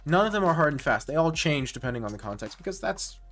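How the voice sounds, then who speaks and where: Rambling man chatting with slightly high voice. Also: very animated, fast, fairly bright tone, soft background music. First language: English. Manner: rambling, self-correcting, nerdy enthusiasm. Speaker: streamer. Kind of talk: casual live-stream chat with viewers.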